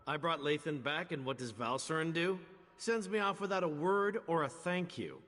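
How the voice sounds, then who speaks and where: An actor playing a chatty, wry, loyal adventurer man in a video game, with a husky voice and a casual, expressive manner. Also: a little high, normal speed. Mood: grumbling. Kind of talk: video game character dialogue. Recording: long reverberant hall echo.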